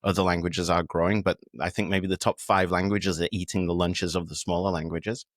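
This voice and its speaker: A man's voice: low-pitched, flat delivery, a little fast, dry recording, clean studio sound. A man from the United Kingdom, a podcast guest, talking in a reflective, casual way with fillers and restarts. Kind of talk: casual conversation.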